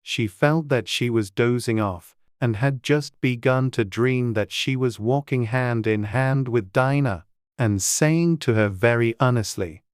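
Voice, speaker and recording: An audiobook narrator reading a children's story in a measured, deliberate storytelling way. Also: mid-range voice, dry recording, studio quality.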